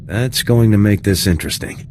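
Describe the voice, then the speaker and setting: A man playing a dutiful, cool lieutenant in a video game, speaking in a brisk, dry way. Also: lowish voice, darkish tone, slight background noise, close to an everyday voice. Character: male soldier lieutenant, dutiful, cool, dryly witty. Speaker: soldier.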